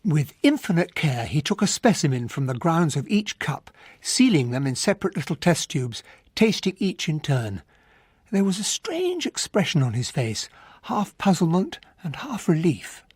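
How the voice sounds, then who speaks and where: Excitable English gentleman, upper-class accent, husky voice, dry close sound, earnest, radio drama. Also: a little high, moderate pace, no echo. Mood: reflective narration. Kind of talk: radio drama acting and narration.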